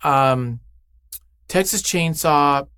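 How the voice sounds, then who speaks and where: A man's voice: on the high side, drawn-out words, fairly bright tone, smooth, no echo, studio quality. A man speaking as an interview guest in casual, unscripted chat, effusive and emphatic, often thinking aloud.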